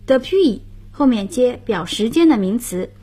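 A woman's voice: fairly high pitch, animated, measured pace, mellow, husky. A woman hosting a radio language lesson, patiently explaining grammar and prompting her partner.

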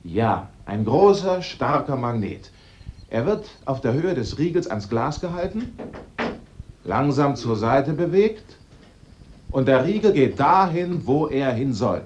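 A man acting a sharp-witted amateur sleuth in a German radio drama, theatrical and assertive as he sets out his case step by step. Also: mid-range voice, very animated, unhurried, lo-fi sound. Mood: showman-like.

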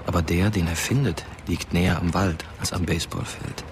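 Plain-spoken teen ghost narrator for radio drama: very low, understated, clear vehicle noise behind. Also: male voice, steady tone, a little fast. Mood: matter-of-fact.

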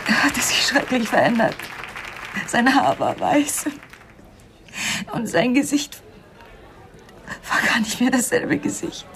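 An actress playing a tragic, bitter woman with a lost love in a radio crime drama, speaking in a halting, emotional way. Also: mid-range voice.

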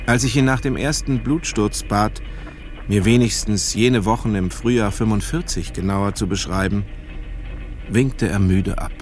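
Man playing a son narrating a radio play, lowish voice, sober literary reading with careful pauses, music behind. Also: close to an everyday voice. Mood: reflective. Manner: measured, deliberate pauses. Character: reflective grown son narrating memories of his father.

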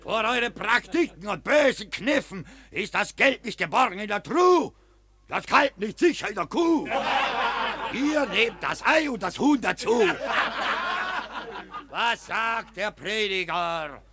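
An actor playing a fiery Capuchin friar who thunders rhymed sermons at a crowd of soldiers in a radio play. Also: male voice, high voice, expressive, slow, full character voice. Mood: scolding sermon. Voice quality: rasping, thundering rhymed preaching. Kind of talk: stage verse radio play.

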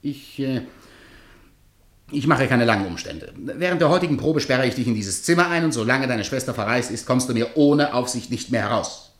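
A man plays a blustering theatrical guardian in a radio play, jealous and strict. His medium-pitched voice moves up and down freely as he lectures with sarcasm, in a roomy, echoing space. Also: animated, moderate pace, natural voice. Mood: scolding, sarcastic. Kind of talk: theatrical radio drama acting.

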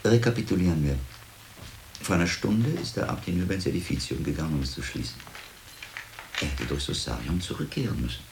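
An actor playing a shrewd, commanding middle-aged monk detective in a radio drama, deliberate and wry with a learned air. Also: male voice, low voice, lively intonation, gravelly, noisy background. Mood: wry, brooding. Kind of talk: German radio drama acting.

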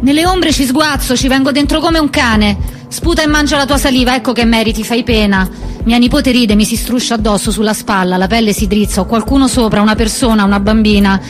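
High voiced woman, played by an actress, lonely and ashamed, clipped intense theatre monologue, music under it. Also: steady tone, fairly quick, close to an everyday voice. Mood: anguished, self-loathing. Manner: clipped fragmentary phrases, raw and intense. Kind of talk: theatrical monologue, stream of consciousness. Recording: music behind the voice.

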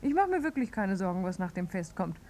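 A woman's voice: medium pitch, sing-song, fast talker, mellow, close to an everyday voice. A woman playing a carefree, cheekily persistent young actress in a 1950s German radio play. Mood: playful, wheedling. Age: young adult. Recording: 1950s radio recording, faint noise.

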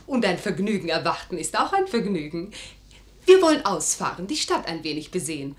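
A woman's voice: fairly low pitch, big pitch swings, brisk. An actress playing a teasing, determined young noblewoman in love, with refined theatrical delivery in the style of 1950s radio drama. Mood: playful, teasing. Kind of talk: 1950s German radio play acting.

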